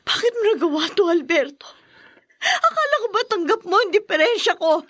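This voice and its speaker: A high, closely recorded woman's voice with a Filipino accent playing a long-suffering wife in radio drama. She pleads with raw feeling, wounded yet forgiving. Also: big pitch swings, moderate pace, a bit bright, dry recording, clean studio sound, exaggerated voice. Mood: hurt, accusing. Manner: emotional, tearful, pleading.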